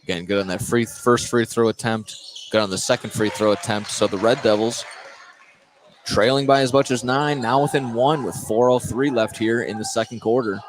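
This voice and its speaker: A man doing live play-by-play of a high school basketball game, often repeating and correcting himself as he goes. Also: fairly even intonation. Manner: halting, repeats and corrects himself mid-call. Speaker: play-by-play commentator. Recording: noisy live courtside radio broadcast.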